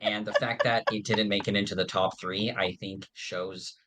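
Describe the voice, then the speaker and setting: A man's voice: fairly low pitch, steady tone, moderate pace, sharp tone, slight background noise. A man co-hosting a fan podcast in casual chat, with a dry, joking way of talking. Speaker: podcast co-host.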